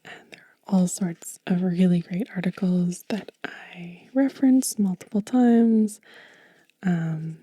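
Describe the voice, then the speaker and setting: A woman speaks in a very soft, dark and mellow whisper, as an ASMR host would. Her delivery is gentle and slow, pausing between items. The voice is breathy and recorded close and dry. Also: fairly low pitch, steady tone, dry recording. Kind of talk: soft-spoken whispered ASMR show and tell. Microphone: close mic in a dry space.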